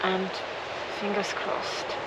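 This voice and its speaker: A woman with a Czech accent, a Czech native, slowly and thoughtfully explaining idioms as a language teacher, filmed outdoors on a tripod camera in the cold. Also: mid-range voice, completely flat delivery. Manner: slow, thoughtful, unhurried. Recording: outdoor take with loud stream noise.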